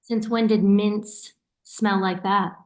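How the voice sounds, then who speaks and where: A woman's voice: medium pitch, steady tone, takes it slowly, a little mellow, natural voice. A woman acting in a naturalistic play reading as a caring, teasing and watchful sister. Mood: suspicious. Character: caring, teasing, suspicious sister of a young man. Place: remote online staged reading.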